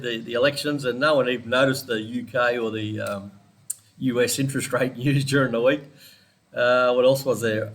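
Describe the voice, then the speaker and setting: A man's voice: mid-range voice, a bit bright, a little hiss or hum. An Australian man with a congested head cold, chatting casually through a weekly forex trading analysis and rambling off on side topics. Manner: chatty, rambling, digressive, with small laughs.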